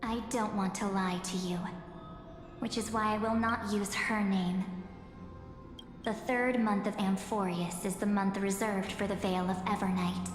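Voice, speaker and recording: A woman playing a solemn, mysterious, guarded figure in a game cutscene, speaking gravely and deliberately in poetic phrases. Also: medium pitch, fairly even intonation, lightly acted. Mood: grave, urgent. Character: solemn, mysterious, guarded female bearer of warnings. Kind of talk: dramatic game cutscene monologue. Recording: echoey reverberant hall sound. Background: music.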